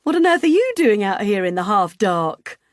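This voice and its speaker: A woman reader voicing a hearty, loud middle-aged woman, talking high and breezily in an audiobook. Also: sing-song, normal speed, no room sound, clean studio sound, natural voice. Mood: booming, jolly.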